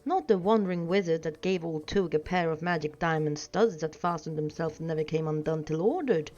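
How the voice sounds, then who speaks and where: Woman acting out fussy little hobbit gentleman, polite, excitable homebody, storybook fantasy, mellow tone, music underneath. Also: deep voice, fairly quick, lightly acted. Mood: excited. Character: plain, fussy male hobbit homebody, polite but flustered. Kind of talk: storybook character reading. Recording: dull sound with music behind.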